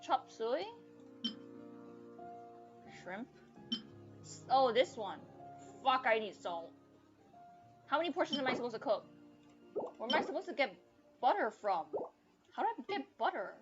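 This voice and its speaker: A woman's voice: on the high side, sing-song, a little slow, pauses often, muffled recording. A woman doing casual live gameplay commentary, muttering to herself and swearing offhandedly, with game music behind her. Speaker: game streamer.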